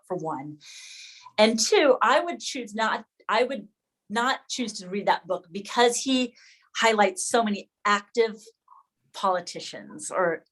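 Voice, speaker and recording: A woman's voice: mid-range voice, fairly bright tone, low-quality recording. A woman leading an informal online discussion with fellow educators, thinking aloud and often correcting herself as she goes. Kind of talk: informal presentation to colleagues. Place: online video call.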